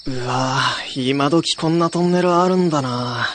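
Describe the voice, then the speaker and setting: Higher-pitched young male student storyteller, acted by a man. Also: moderate pace, slight background noise, natural voice. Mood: casual, uneasy. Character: young male college student recounting a summer ghost story. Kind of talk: radio drama narration with acted dialogue.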